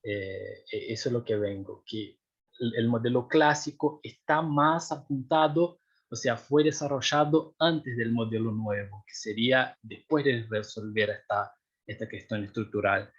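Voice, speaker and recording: Young man, husky voice, dull lo-fi sound, hesitant seminar explanation. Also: mid-range voice, unhurried. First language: Portuguese. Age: young adult. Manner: hesitant, many fillers and restarts, checks if understood. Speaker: doctoral researcher presenting a seminar.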